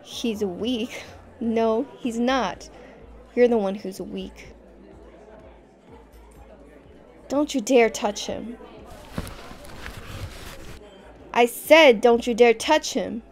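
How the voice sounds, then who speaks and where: A woman's voice: on the high side, takes it slowly, soft background music, a faint crowd. A woman playing a tough, fiercely protective young girlfriend in an audio roleplay, speaking directly to the listener. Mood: defiant, confrontational.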